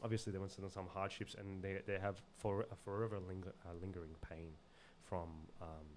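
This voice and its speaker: A man from Zimbabwe with an Australian accent, talking earnestly and haltingly in casual podcast conversation, often repeating words as he searches for phrasing. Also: low voice, level tone, slight background noise. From Zimbabwe, moved to Australia as a child. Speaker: podcast guest.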